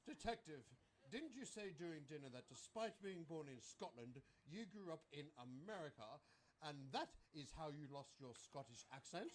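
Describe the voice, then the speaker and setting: A man's voice: a little high, sing-song, moderate pace, slight background noise. A man playing a pompous, fussy upper-class English lord in a farcical comedy, with a formal, exclamatory manner. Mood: haughty, arch.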